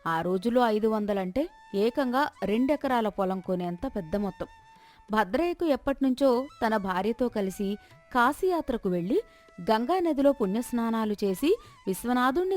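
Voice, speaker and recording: A woman's voice: a little high, animated, normal speed, darkish tone, soft background music. A woman reading a scripted moral story as its narrator.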